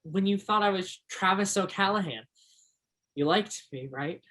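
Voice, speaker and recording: An actor in a stage play as an earnest, romantic teenage high school boy with a theatrical way of talking. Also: normal speed, a bit bright, low-quality recording. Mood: pleading.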